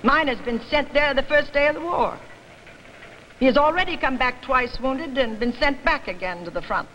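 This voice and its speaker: A woman's voice: high-pitched, normal speed, a bit bright, highs cut off, voice pushed up. A woman playing an argumentative, insistent father among train passengers in a dramatic story reading. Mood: reproachful. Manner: emphatic, insistent. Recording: noisy old archival reading recording.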